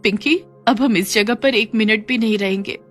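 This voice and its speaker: A woman voicing a gentle, long-suffering young mother with a soft, pleading and soothing manner in a cartoon story. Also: a little high, moderate pace, soft background music, close to an everyday voice. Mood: resolved, sad.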